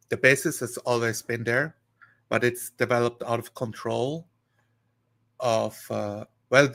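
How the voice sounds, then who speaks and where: A man chatting casually on a live stream, rambling and thinking aloud in a halting way. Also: mid-range voice, pushed and bright.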